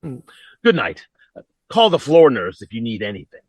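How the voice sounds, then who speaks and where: A man's voice: mid-range voice, wildly swooping pitch, normal speed, husky, no room sound. A man playing a bumbling, cheerfully callous doctor with a hesitant stammer in an old-time radio comedy. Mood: breezy, reassuring.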